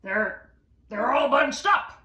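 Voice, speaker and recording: A blunt, meddling animal neighbour from a picture book put on by a woman. He points things out emphatically, dragging his words. The voice is bright and unhurried. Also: sits high, animated, reverberant, heavily acted. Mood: blunt, observing. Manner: drawn-out, emphatic, matter-of-fact observing.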